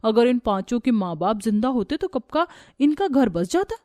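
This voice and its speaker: Woman doing a taunting, high-pitched older aunt. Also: sing-song, normal speed, darkish tone, no echo, clean studio sound. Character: spiteful, sharp-tongued older neighbour aunt.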